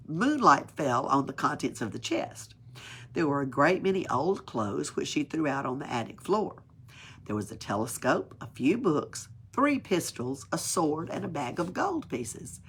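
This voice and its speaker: A woman with a husky voice reads a children's story aloud in a dramatic, emphatic way. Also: low-pitched, slight background noise. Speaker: library story reader. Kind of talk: expressive storybook read-aloud.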